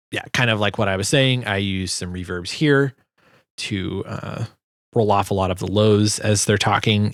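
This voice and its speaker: A man's voice: lowish voice, dry recording, clean studio sound. A man giving a casual, informal tutorial as a sound designer, hesitant as he thinks aloud. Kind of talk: casual screen tutorial narration.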